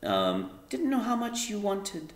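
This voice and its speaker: A man's voice: sits high, huge pitch swings, takes it slowly, roomy sound, voice pushed up, full character voice. A man voicing a sulky, defensive tiny young daughter in a storybook, mumbling her replies.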